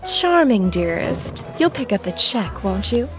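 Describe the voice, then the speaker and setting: A woman playing a cool, shrewd deputy mayor in an audio drama, speaking with crisp, sardonic authority. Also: medium pitch, big pitch swings, unhurried, over music.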